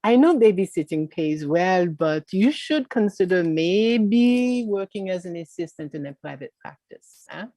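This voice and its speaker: An actress playing Marie, a confident middle-aged woman mentor from Haiti, who speaks in an unhurried way and ends her lines with coaxing tag questions. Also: lowish voice, animated, mellow, no room sound. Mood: instructive. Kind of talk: live-streamed stage play reading. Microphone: video call.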